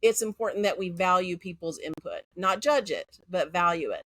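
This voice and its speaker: A woman's voice: on the low side, moderate pace, a bit bright, no echo. A woman from Central Texas with a Texan accent, a guest talking frankly and confidently in a casual interview. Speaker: guest, educator.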